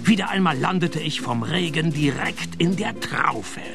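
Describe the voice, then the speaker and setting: Casting: dry private detective, gravelly, slightly high register, wry radio-play narrator. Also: male voice, unhurried, over music. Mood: dry, deadpan. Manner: dry, laconic, deadpan. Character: wry, unimpressed male private detective narrating his case. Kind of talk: scripted first-person radio crime comedy narration.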